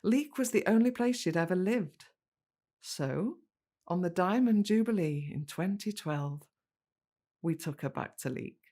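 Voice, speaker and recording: An actress playing a wistful, caring middle-aged British daughter in a naturalistic audio drama, speaking gently and reflectively. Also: female voice, on the low side, lively intonation, measured pace. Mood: reflective narration.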